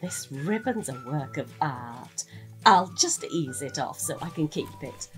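A British woman acting a warm, teasing, easily delighted detective's wife in a gentle, naturalistic radio drama. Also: low voice, lively intonation, a little slow, faint music behind, low-quality recording. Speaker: actress in an audio drama.

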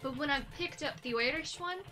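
A woman co-hosting a casual gaming stream, playful and quick to laugh. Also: high-pitched, pushed and bright, faint music behind. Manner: playful, laughing, easily amused. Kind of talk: casual gaming livestream chat.

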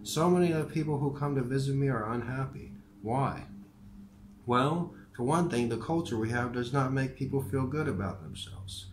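A man voicing a frail, dying old male professor who speaks gently and calmly in an unhurried way. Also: mid-range voice, a little hiss or hum, voice pushed up, close to an everyday voice. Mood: earnest. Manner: gentle, unhurried, softly earnest. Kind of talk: audiobook character voice. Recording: roomy echo with faint ambience.

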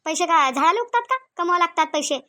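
A woman's voice: extremely high pitch, animated, fast talker, sharp tone, no echo, faint music behind, exaggerated voice. A woman voicing a domineering, miserly rural mother-in-law in a cartoon comedy, nagging and sarcastic in a Varhadi Marathi accent. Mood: scolding. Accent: Varhadi Marathi from Vidarbha. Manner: nagging, sarcastic, full of rhetorical questions.

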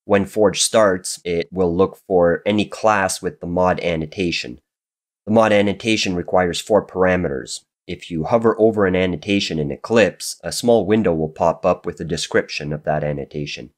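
Deep-voiced man with a nasal cold. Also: normal speed. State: getting over a cold, raspy and nasal. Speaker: coding tutorial host. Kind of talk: screen-recorded programming tutorial narration.

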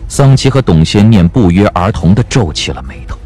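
A man's voice: on the low side, sing-song, fairly quick, dark tone, faint music behind. A man narrating a period audio drama as a storyteller, reading scripted prose dramatically.

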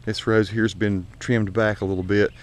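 A man's voice: on the low side, flat delivery, moderate pace, dry recording. A man giving a folksy, unscripted, conversational tour of his home garden, recorded outdoors on an action camera while walking. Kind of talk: casual vlog narration. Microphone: action camera mic.

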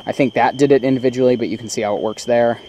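A man's voice: mid-range voice, steady tone, rapid-fire, mellow, a little hiss or hum. Young man rambling through a car tour. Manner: rambling, many ums and restarts. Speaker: vlogger. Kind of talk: casual car walkthrough vlog. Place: in and around a parked car in a driveway, evening. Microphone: handheld camera mic.

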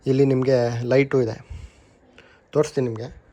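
Kannada-accented man, mellow and chatty. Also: medium pitch, fairly even intonation, normal speed, muffled recording. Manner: chatty, mixes English words into his talk, self-corrects. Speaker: motovlogger reviewing a helmet intercom. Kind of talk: casual product review and unboxing.

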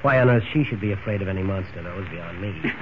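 An actor playing a strained, stern middle-aged orphanage superintendent, curt and formal, on a muffled old radio recording with hiss. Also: male voice, low voice, fast, lightly acted. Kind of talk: 1940s radio acting.

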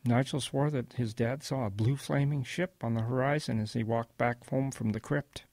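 Man playing old grandfather, husky, dry close sound, wistful, gentle, reflective, audio drama storytelling. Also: medium pitch, fairly even intonation, normal speed, no room sound, lightly acted. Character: wistful old grandfather recounting his wartime boyhood.

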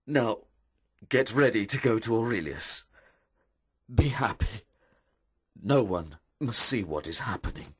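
A man voicing a tender, grave noble husband in a storybook, husky and solemn. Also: on the low side, animated, unhurried, long pauses.

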